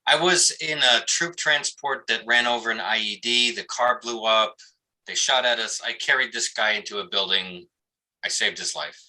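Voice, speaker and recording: A man's voice: mid-range voice, moderate pace, sharp tone, rough recording. A man playing a defensive, foul-mouthed cop and army veteran in a live play reading, speaking bluntly and evasively. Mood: somber.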